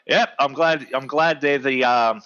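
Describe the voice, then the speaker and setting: A man's voice: medium pitch, a little slow, pushed and bright. A young adult man, chatting in an agreeable, easygoing way and laughing often. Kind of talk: casual podcast chat. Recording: dull lo-fi mic.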